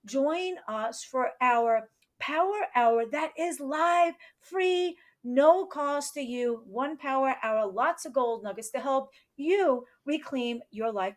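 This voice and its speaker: A woman hosting a chatty live interview show, with an exuberant, cheering manner. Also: sits high. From New York, United States. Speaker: show host.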